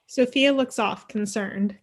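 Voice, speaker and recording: A young woman with a medium-pitched voice narrates a screenplay. She reads scene headings and action lines in a scripted style over a video call. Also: slow. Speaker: stage direction reader.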